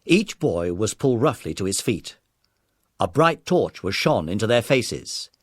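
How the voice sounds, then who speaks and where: A man narrating a children's adventure story in a measured, dramatic storytelling manner. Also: lowish voice, sing-song, breathy edge, no echo, studio quality.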